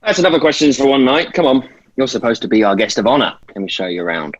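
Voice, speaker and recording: A man's voice: medium pitch, fast, lo-fi sound, lightly acted. A man acting in a table read as a seasoned boy leader who shows a newcomer around and explains the dangers. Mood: lighter, inviting.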